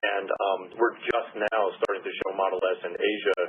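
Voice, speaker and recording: A man's voice: lowish voice, little pitch movement, fairly quick, narrow, tinny audio, background noise. A man giving an executive's business update on an earnings call, heard over a phone conference line. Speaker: company executive.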